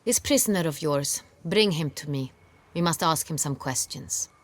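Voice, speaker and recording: An actress playing a commanding duchess in a fantasy video game, speaking formally and with imperious authority. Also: female voice, deep voice, animated, normal speed, slight background noise. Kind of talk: dramatic video game acting.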